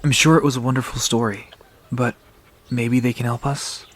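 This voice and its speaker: A man playing a gentle, guarded young man in an audio drama, speaking politely and with hesitation. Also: medium pitch, steady tone, normal speed, a little hiss or hum, close to an everyday voice. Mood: awkward, careful. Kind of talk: audio drama character acting.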